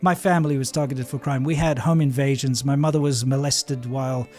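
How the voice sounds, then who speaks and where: A man's voice: mid-range voice, fairly even intonation, a little fast, a little mellow, faint music behind. A young man with a South African accent, speaking bluntly and outspokenly in a monologue to camera. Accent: South African English. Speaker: video creator. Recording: played back within a reaction video.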